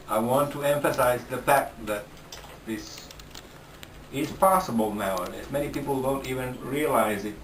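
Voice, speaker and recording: Slow-talking Finnish man, distant mic. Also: mid-range voice, noisy background. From Finland. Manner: slow, halting, with repeated restarts. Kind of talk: casual interview talk. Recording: roomy sound from a distant mic.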